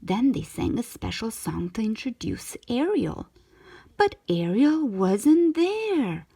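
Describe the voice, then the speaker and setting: Female storybook narrator for young children, slow and lively, with a slightly breathy edge. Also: a little high. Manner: expressive, measured storytelling for children. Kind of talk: scripted storybook narration, read aloud.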